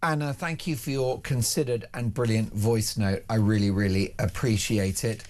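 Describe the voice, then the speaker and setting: A man's voice: on the low side, steady tone, moderate pace, darkish tone. A British man hosting a talk show, reviewing the papers and bantering in a chatty, wry manner. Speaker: radio talk show host. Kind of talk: newspaper review and casual banter.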